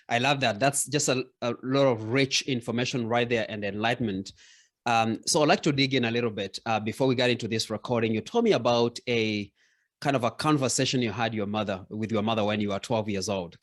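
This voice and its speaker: A man's voice: medium pitch, fairly even intonation, a little fast. A man from Kenya, hosting a podcast interview and affirming his guest as he shares his own story. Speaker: podcast host.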